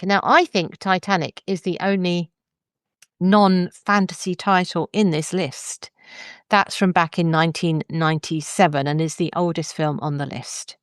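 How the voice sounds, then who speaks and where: A woman's voice: fairly low pitch, smooth, dry recording, studio quality. A woman hosting a podcast in a conversational presenting style. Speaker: podcast host.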